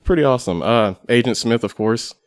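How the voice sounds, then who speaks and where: A man chatting casually as a podcast guest, piecing his thoughts together with pauses. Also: medium pitch, dry recording, clean studio sound. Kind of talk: casual panel discussion. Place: podcast studio.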